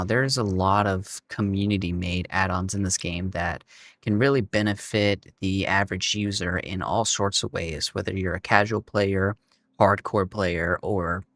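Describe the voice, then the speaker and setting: A man with a hoarse, raspy voice from being sick, giving a relaxed, casual walkthrough of game add-ons and talking straight to his viewers. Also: low voice. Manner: relaxed, frequent "uh" and "um", addresses viewers as y'all. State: hoarse from illness. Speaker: gaming video creator.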